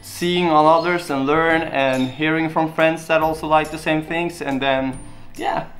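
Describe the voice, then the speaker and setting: Norwegian guy vlogging, chatty q&a, simple earnest phrasing, slightly high voice, faint music behind. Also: fairly even intonation, measured pace, a bit bright. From Norway. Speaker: YouTube vlogger. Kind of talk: casual Q&A talk to camera.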